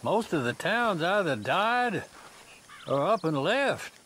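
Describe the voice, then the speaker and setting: An actor playing a folksy, morbid old frontier undertaker with an in a video game. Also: male voice, high-pitched, very animated, slow pace, fairly bright tone, slight background noise, heavily acted. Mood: matter-of-fact. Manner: drawling, folksy. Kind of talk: western video game acting.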